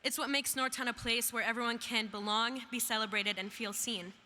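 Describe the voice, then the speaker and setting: A young woman who grew up in Iraq and speaks Arabic natively, giving a measured, emphatic speech as a student speaker at a graduation. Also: on the high side, steady tone, pushed and bright. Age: young adult. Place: large hall. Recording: reverberant hall with faint noise.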